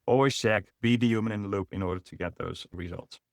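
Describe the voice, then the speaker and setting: Dutch-accented man, low, dry. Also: fast talker, vocal fry, dry recording. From Netherlands. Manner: explanatory, with frequent fillers and restarts. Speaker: webinar presenter. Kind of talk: business webinar presentation. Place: online webinar.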